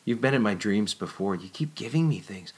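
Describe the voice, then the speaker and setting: A man reading aloud, voicing an excitable, dreamy young woman with a high, breathless, stammering voice. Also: fairly quick, close to an everyday voice. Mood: wonderstruck.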